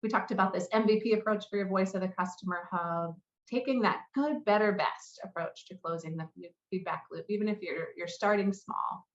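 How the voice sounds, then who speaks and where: A woman's voice: medium pitch, fairly quick. A woman giving a business presentation and answering questions as a marketing lead. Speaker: conference presenter, head of customer marketing. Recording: roomy echo, slightly muffled sound.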